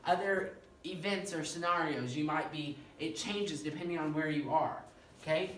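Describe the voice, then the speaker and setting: Man, professor, high-pitched, animated lecture, distant mic, faint hiss. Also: normal speed. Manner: animated, repeats phrases, checks in with "okay?". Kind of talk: classroom lecture. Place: classroom. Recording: echoey room, mic far off, faint noise.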